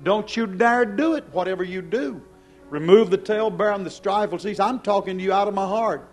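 A man preaching a fiery, stern sermon, accusing his congregation with emphatic repeated questions and swinging between hushed and loud delivery. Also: sits high, a little fast, soft background music. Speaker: preacher, church pastor. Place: church service before a congregation.

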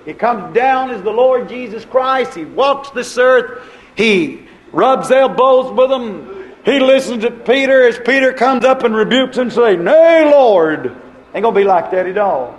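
A man's voice: very high-pitched, lively intonation, measured pace, a little hiss or hum. A man preaching a sermon in a dramatic, folksy way, with old-fashioned church phrasing and acted-out quotations. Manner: dramatic, acts out short quoted dialogue.

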